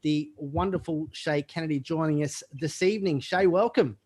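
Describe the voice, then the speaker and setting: A man's voice: on the high side, moderate pace, a little mellow, a little hiss or hum. Upbeat male podcast host. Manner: upbeat, hesitant fillers. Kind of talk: casual live podcast hosting. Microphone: video call.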